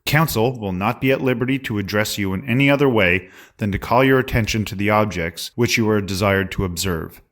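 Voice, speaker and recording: A man's voice: on the low side, brisk, fairly bright tone, close to an everyday voice. A man playing a stern, formal presiding judge giving instructions in an 1893 trial drama. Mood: grave, instructing. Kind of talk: dramatic courtroom reenactment.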